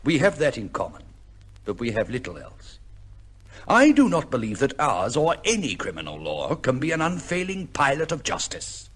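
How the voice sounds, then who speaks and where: Radio drama lawyer: idealistic, oratorical male, husky, extremely animated delivery. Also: mid-range voice, normal speed. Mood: impassioned. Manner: earnest, oratorical. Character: impassioned, idealistic male barrister with a warm conscience. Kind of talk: old-time radio drama acting.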